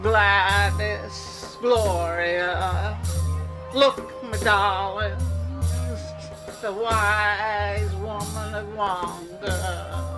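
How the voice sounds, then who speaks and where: An actor playing a foolish, flattering, long-winded man in a comedy audio drama, speaking in a pompous, muddled way. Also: sits high, extremely slow, over music. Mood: grandly excited.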